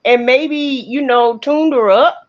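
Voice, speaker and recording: Woman co-commentator, slangy and opinionated, higher-pitched, casual gossip chat, dull lo-fi recording. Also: slow pace. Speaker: live stream co-commentator. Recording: dull, lo-fi stream audio.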